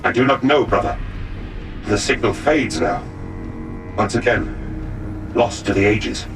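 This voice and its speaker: A man's voice: on the low side, expressive, a little slow. A man playing an earnest, dutiful space marine in a video game, giving grave and formal reports. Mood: awed. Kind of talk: video game dramatic acting. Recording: distant, over clear background music.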